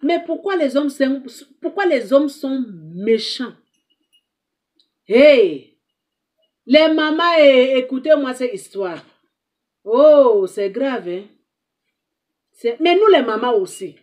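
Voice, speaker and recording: Woman advice host, higher-pitched, preachy and scolding, repeats her points. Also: expressive, slow pace, long pauses, darkish tone. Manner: emphatic, scolding, repeats herself. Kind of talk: moralizing monologue to viewers.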